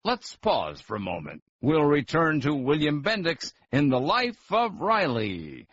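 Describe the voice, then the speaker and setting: Slow, dramatically swooping male announcer. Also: fairly high pitch, huge pitch swings, a bit bright, breathy edge. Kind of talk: scripted show announcement. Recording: dull audio with music bed. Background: music.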